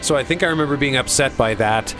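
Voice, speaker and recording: Man, average pitch, casual podcast co-host, halting, thinking aloud, music playing behind. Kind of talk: casual chat about TV.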